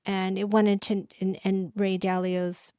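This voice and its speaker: A middle-aged woman chatting casually to camera, stopping and restarting her sentences as she thinks aloud. Her voice sits at an ordinary pitch and sounds close and dry, with no room around it. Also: little pitch movement, dark tone, clean tone, no echo. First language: English. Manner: halting, with frequent restarts and fillers. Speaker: coach and channel host.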